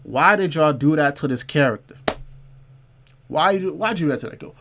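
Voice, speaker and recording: A man's voice: fairly high pitch, lively intonation, normal speed, a bit bright, a little hiss or hum. A man hosting a casual comics livestream, talking loosely to his chat, reading out viewer comments and repeating phrases to drive a point home. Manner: says y'all and man, asks viewers to comment below. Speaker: comic book livestream host.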